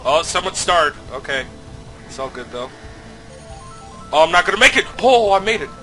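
Male let's-play host, stuffy from a cold, crisp bright tone, casual and foul-mouthed, prone to shouting. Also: on the high side, normal speed, faint music behind. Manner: excitable, swears a lot, loud outbursts. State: a little sick, slightly nasal. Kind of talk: casual gameplay commentary.

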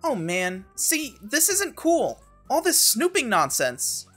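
A woman voicing an excitable, easily exasperated young boy in a web comic dub, with a casual, exclamatory delivery. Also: fairly high pitch, slow, soft background music, heavily acted. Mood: defensive, irritated.

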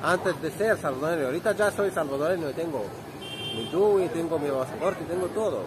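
A man's voice: fairly high pitch, a little fast. Egyptian man vlogging through a noisy market. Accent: Egyptian Arabic. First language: Arabic. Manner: relaxed, chatty, loose non-native Spanish with frequent restarts. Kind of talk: walking vlog to camera. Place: busy outdoor street market. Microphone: handheld phone at arm's length. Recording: loud street crowd and music behind. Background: market crowd, traffic, music.

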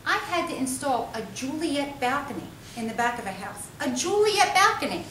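A woman voicing a loud, teasing working-class young man of twenty-two, reading him in a storybook with a blustering, slangy manner. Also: sits high, animated, moderate pace, voice pushed up. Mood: exasperated. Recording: roomy, distant, faintly noisy.

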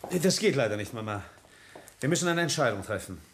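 Animated, cynical young careerist. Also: male voice, medium pitch, fast talker, a little hiss or hum. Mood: tense. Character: cynical, self-serving grandson protecting his career. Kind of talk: German radio drama acting.

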